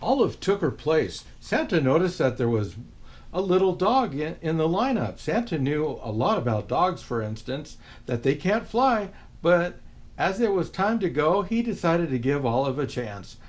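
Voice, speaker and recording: A man reading a children's picture book aloud as a storyteller, expressive and unhurried. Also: fairly high pitch.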